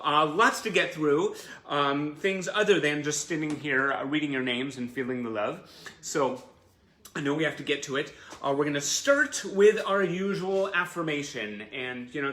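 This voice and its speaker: Bright-voiced effusive man in an echoey room. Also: mid-range voice. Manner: effusive, gushing, encouraging. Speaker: host of a fan livestream show. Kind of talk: casual livestream chat to fans. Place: indoor room, live online stream. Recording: roomy echo, faint background noise.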